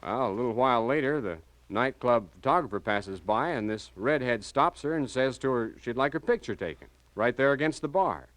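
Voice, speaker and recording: A man's voice: medium pitch, expressive, normal speed, hardly any pauses, fairly bright tone, dry recording, close to an everyday voice, low-quality recording. An actor playing an anxious married small-town businessman, hesitant and flustered, in 1940s radio style. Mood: nervous recounting.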